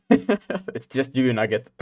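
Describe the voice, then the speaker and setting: Young guy rambling to his stream chat, laughs a lot, mid-pitched voice. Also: a little mellow, breathy edge. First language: Swedish. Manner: giggly, rambling, talks to chat. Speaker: game dev streamer. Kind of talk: casual live-stream chat.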